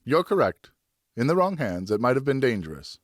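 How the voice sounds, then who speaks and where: A male narrator acts a weary French nobleman and father in a historical audiobook. He reasons earnestly and soothingly, with an animated rise and fall above the reader's usual low pitch. The sound is very dry. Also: a little fast, no room sound. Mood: placating. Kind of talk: audiobook character acting.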